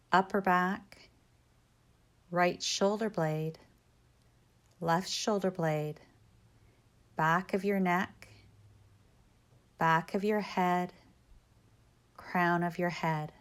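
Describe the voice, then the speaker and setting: A woman guiding a yoga nidra meditation in a calm, measured, instructional way, with short cues and pauses. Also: lowish voice.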